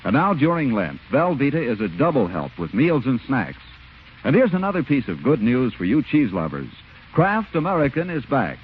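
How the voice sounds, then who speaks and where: A man's voice: mid-range voice, breathy edge. A man reading scripted announcements as a 1940s radio announcer, with polished, emphatic salesmanship. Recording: muffled 1940s radio broadcast recording. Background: music.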